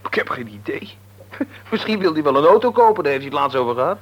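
A man's voice: fairly high pitch, sing-song, fast talker. A man playing a good-natured, hesitant youngster in a Dutch radio play, chatty and obliging. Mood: doubtful. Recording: dull, noisy old radio recording.

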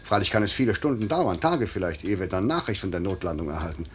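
An actor playing a stern, dutiful night airmail chief, formal and measured, in 1950s German radio drama style. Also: male voice, sits low, lively intonation, a little hiss or hum. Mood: insistent, reassuring. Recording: 1950s radio play recording.

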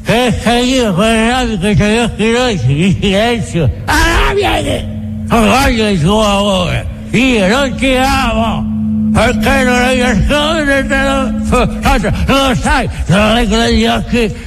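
An old Grand Inquisitor in a radio drama monologue. He is bitter, mocking and domineering, halting on repeated words and leaving deliberate pauses. Music plays behind the voice. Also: very high-pitched, animated, a bit bright, exaggerated voice, rough recording. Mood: angry taunting. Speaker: stage actor.

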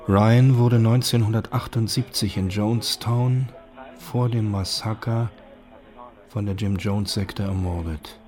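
A man's voice: on the low side, steady tone, measured pace, a little mellow. A man reading scripted documentary material in a formal, prepared delivery.

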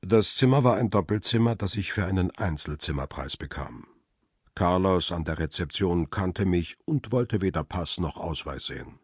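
Deep husky man narrating a crime radio play. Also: lively intonation, moderate pace, no room sound, clean studio sound. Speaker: narrator and lead character.

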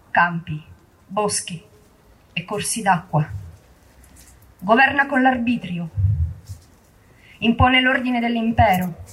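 A woman's voice: fairly high pitch, fairly bright tone, slightly rough, exaggerated voice, poor audio. A woman performing a solemn theatrical monologue as the proud, declamatory voice of an ancient rebel army.

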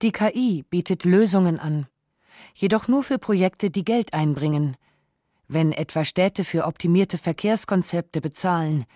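Mellow, close-up documentary narrator. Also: medium pitch, no room sound. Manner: measured, essayistic, rhetorical questions.

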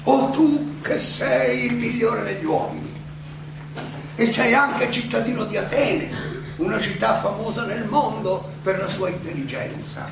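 An actor playing a defiant philosopher on trial in a theatrical monologue, declamatory and halting, with rhetorical questions, in an echoey, noisy recording. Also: male voice, very high voice, music in the background, exaggerated voice. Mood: reproachful, exhorting. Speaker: stage actor and director. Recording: echoey hall, distant mic, clearly noisy.

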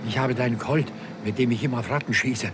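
An actor playing an obsessed, brooding scientist in a 1960s German radio crime drama, speaking in a husky, fervent, halting, theatrical way. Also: male voice, mid-range voice, background noise, lightly acted. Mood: shaken. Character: obsessed male scientist fascinated by raising the dead.

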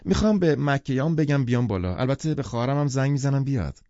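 A man's voice: medium pitch, lively intonation, mellow, dry recording, muffled recording, pitched above the performer's own voice, natural voice. A man voicing an eager, self-important married woman in an audiobook, gushing and demanding. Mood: inviting, proud. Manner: enthusiastic, demanding.

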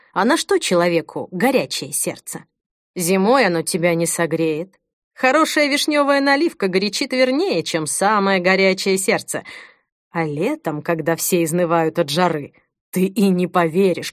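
A woman reading the part of a sly male tempter who coaxes slowly and smoothly. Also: fairly low pitch, dry recording, clean studio sound. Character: sly persuasive male tempter, coldly calm.

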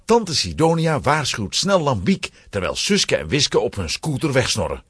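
A man's voice: medium pitch, huge pitch swings, moderate pace, breathy edge, no room sound, clean studio sound. A man narrating a children's audio drama from a script, as a storyteller.

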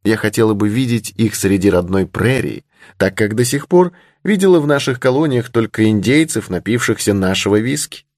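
A man's voice: on the low side, lively intonation, normal speed, darkish tone, no echo, clean studio sound, pitched above the performer's own voice, natural voice. A man voicing a brave, candid young creole lady in an adventure audiobook. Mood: confident. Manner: candid, charmingly simple, assured. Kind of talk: audiobook character in adventure novel.

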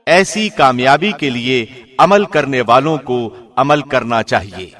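A man narrates translated scripture in a formal, measured way. His pitch is mid-range for a man. The voice carries a long reverberant tail. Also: lively intonation, fairly bright tone. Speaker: translation reader, radio host.

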